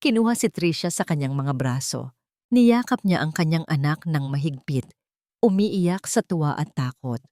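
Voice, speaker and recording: Lady narrator doing dramatic story reading, lowish voice, very dry recording. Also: lively intonation, moderate pace, mellow, no echo.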